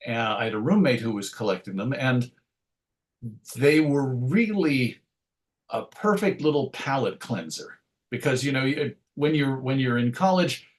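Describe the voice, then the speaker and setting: A man with a mid-pitched voice talks in a relaxed interview setting as the guest. He is thoughtful and anecdotal, often slipping into quoted asides to make a point. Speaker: interview guest, radio show host. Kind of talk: conversational interview.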